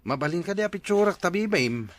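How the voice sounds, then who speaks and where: A man's voice: high-pitched, lively intonation, quick pace. A man with an Ilocano accent playing a good-natured, coaxing husband in a comedy drama, with some background noise. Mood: cheerful.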